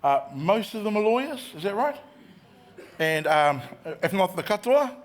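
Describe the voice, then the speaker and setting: A man introducing a group on stage. He has a loud voice and a jovial, chatty manner. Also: high voice, very animated, unhurried. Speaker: master of ceremonies. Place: conference hall.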